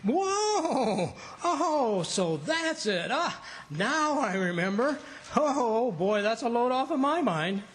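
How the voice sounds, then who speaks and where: A woman's voice: fairly high pitch, very animated, slow pace, hardly any pauses. A woman playing a blustery, scheming husband in an old-time radio comedy, folksy and evasive. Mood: evasive, relieved. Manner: folksy, blustering, hesitant when cornered.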